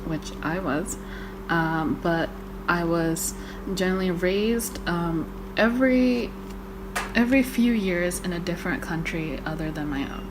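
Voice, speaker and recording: A woman's voice: fairly low pitch, measured pace, noisy background. Chatty young woman from Malaysia. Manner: chatty, swearing, many fillers. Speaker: podcast co-host. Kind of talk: casual chat.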